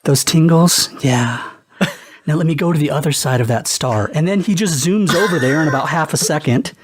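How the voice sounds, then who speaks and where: Playful podcast panelist, medium pitch, riffing off the cuff in casual chat, a bit halting. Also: slightly rough. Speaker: comedy debate podcast panelist.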